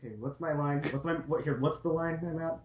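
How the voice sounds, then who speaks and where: A young man with a slightly high voice chats in a nerdy, nitpicking way, sounding exasperated. His home recording is echoey and a little dull. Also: steady tone, brisk, a little hiss or hum. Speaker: co-reader and commentator. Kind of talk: casual nerdy joking chat.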